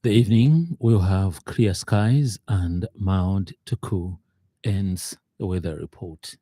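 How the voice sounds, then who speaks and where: A man reading the evening news bulletin on radio in a measured, formal way, speaking into a studio microphone. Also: low voice, background noise. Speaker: newsreader.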